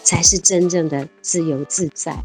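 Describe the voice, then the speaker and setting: A woman in her fifties from Taiwan, a guest in a casual interview, cheerful and quick to laugh. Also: lowish voice, fairly even intonation, a little mellow, breathy edge, soft background music. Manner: cheerful, laughs often, quick bursts of enthusiasm.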